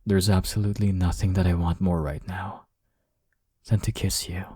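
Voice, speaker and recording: A man's voice: low voice, level tone, moderate pace, warm tone, husky, dry recording, clean studio sound. A man playing a cocky, teasing young man flustered by his crush, in an intimate romantic audio roleplay. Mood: flustered pleading. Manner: teasing, chuckling, sighing, stammering when flustered.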